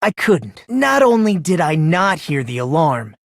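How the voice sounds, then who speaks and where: An actor playing an eerily polite, smug high school boy in an anime game dub, talking in a condescending, leisurely, theatrical way. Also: big pitch swings, a little slow, vocal fry, dry recording, clean studio sound, exaggerated voice. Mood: smug explaining. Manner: condescending, leisurely, theatrical, rhetorical tag questions. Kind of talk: anime video game dub acting, deductive monologue.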